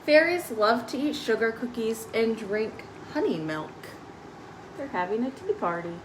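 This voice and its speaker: A woman's voice: a little high, big pitch swings, a little slow. A woman hosting a children's storytime on a live stream, reading picture books aloud with simple, explanatory asides for young listeners. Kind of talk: picture-book read-aloud with informal asides. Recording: faint background noise on live stream.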